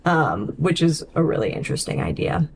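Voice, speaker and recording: A woman co-hosting a podcast, casually discussing literature in a thoughtful, halting way with frequent "like". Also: low-pitched, big pitch swings, vocal fry, darkish tone, a little hiss or hum. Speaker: podcast co-host.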